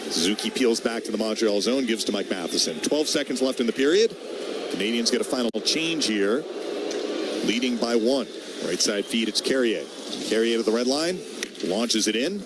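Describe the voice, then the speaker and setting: A man's voice: mid-range voice, expressive, normal speed. A man calling live hockey play-by-play on the radio as the announcer. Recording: clear arena ambience noise.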